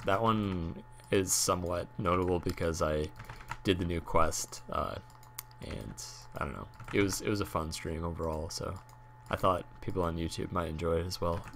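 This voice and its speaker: A young man with a hoarse voice from a cold, rambling casually with many fillers while talking about a game he streams. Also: low-pitched, fairly bright tone, a little hiss or hum. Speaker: gaming YouTuber and streamer. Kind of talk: casual talk over gameplay.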